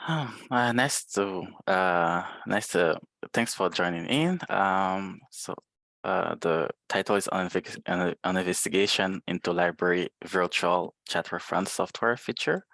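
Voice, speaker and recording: A man whose first language is French, giving a webinar presentation over a video call in a halting way with many fillers. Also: deep voice, gravelly, fairly bright tone, dry recording. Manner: hesitant, many filler sounds. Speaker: guest presenter, librarian.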